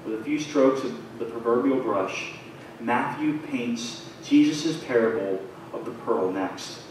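Man preaching in an echoey church. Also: mid-range voice. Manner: measured preaching, voice rising and falling at phrase ends. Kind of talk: sermon. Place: small church sanctuary. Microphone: far camera, pulpit mic. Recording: very echoey church from far off, faint noise.

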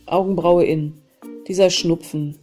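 A woman with a cold hosting a self-help channel, calmly guiding a tapping session and repeating short phrases between named tapping points. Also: fairly low pitch, unhurried, soft background music. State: has a cold. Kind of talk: guided EFT tapping session.

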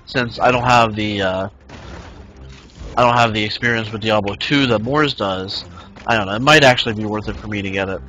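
A man's voice: on the low side, a little fast, pushed and bright, rough recording. A man in his twenties casually streaming a game, rambling and reading the chat aloud. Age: 20s. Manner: rambling, reads chat aloud, many fillers. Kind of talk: casual live-stream chat while gaming.

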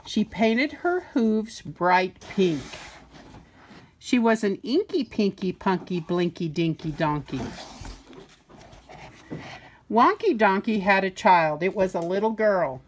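Older lady doing a kids storybook read-aloud, playful and sing-song, takes her time, really animated pitch. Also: slow pace, long pauses, slight background noise. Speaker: grandmother reading a picture book aloud.

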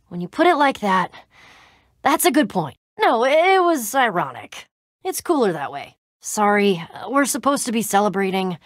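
A woman's voice: mid-range voice, no room sound, clean studio sound. A woman playing a plucky, proud young female adventurer in a video game, with a casual, slangy, sardonic delivery. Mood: defensive, mopey.

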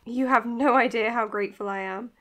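A woman's voice: a little high, fairly even intonation, a little fast, fairly bright tone, natural voice. An actress playing a caring, tired woman doctor in a naturalistic audio drama, speaking earnestly and hesitantly. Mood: flustered. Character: anxious, caring, tired young female doctor. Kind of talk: naturalistic audio drama, confessional podcast narration.

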